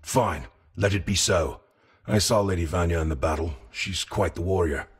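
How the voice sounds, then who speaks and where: An actor playing a proud, determined fallen warrior lord in a medieval fantasy game, speaking with terse self-assurance. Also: male voice, low-pitched, normal speed, slightly rough, exaggerated voice. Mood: resolute.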